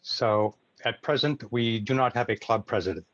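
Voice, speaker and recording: An American man moderating an informal club meeting on a video call, making announcements in a hesitant, halting way. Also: mid-range voice, no echo. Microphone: video call microphone. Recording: muffled video call audio.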